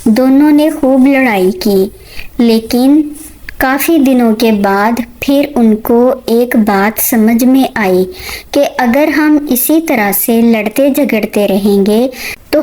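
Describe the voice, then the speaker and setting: A woman narrates a children's story as a storyteller. Her voice is on the high side. She takes the scripted lines at a very slow pace. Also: a little mellow, rough recording.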